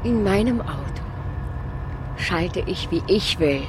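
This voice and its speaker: A woman's voice: fairly low pitch, lively intonation, slow, background noise. An actress playing a defiant, troubled woman teacher in a radio drama, pointed and emotive.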